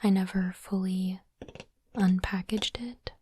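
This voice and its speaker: A woman whispering gently and breathily in an ASMR style, in unhurried short phrases, close to the microphone. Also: mid-range voice, steady tone, slight background noise. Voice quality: soft, breathy unvoiced whisper. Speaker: ASMR host unboxing trading cards. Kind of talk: whispered ASMR, reading cards and commenting. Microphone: close microphone, dry.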